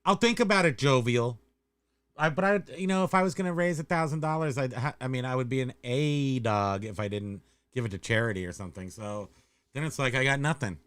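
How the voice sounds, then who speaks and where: A man's voice: medium pitch, fairly quick, fairly bright tone, clear, resonant tone, no room sound. A man co-hosting a livestream, chatting casually in a goofy, teasing, playful way. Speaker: co-host of a livestream. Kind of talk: casual joking livestream chat.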